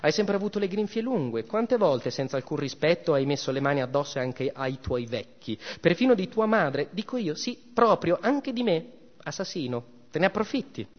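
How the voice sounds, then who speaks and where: A haughty, jealous goddess mother who scolds and sneers in a raised, theatrical voice, as a male reader puts her on. The pitch sits well up. The reading is heard as a dull, muffled recording with a long hall reverb. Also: big pitch swings, moderate pace. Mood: furious scolding. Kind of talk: dramatic reading of a myth.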